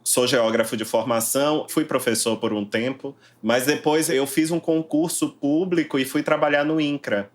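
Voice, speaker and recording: A man's voice: medium pitch, steady tone, normal speed, hardly any pauses. Reflective Bahian man, novelist guest. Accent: Bahian Portuguese. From Brazil, Salvador. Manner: reflective, frequent tag questions. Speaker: interviewed novelist. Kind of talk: conversational interview answers.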